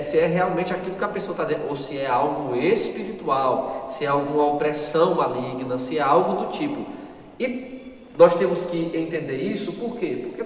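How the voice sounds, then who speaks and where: A Brazilian man leads a church Bible class, earnest and repetitive, often asking his listeners questions. His voice sits a little high for a man. It is picked up from a distance in a long, echoing hall. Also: slight background noise. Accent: Brazilian Portuguese. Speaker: Sunday school teacher. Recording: echoey hall, distant mic, faint ambience.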